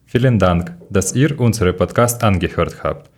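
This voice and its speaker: A man's voice: low-pitched, moderate pace. A man from Ukraine hosting a casual podcast in learner German, leading the talk and asking questions with some hesitation. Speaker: podcast host.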